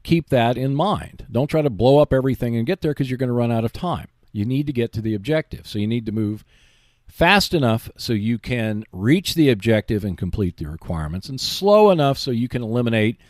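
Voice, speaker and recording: A man's voice: medium pitch, lively intonation, brisk, a little mellow, no echo, studio quality. A man hosting a gaming show, giving instructional tips in an explanatory, emphatic and reassuring way. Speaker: host of a tabletop gaming show. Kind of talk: instructional tips talk on board wargaming.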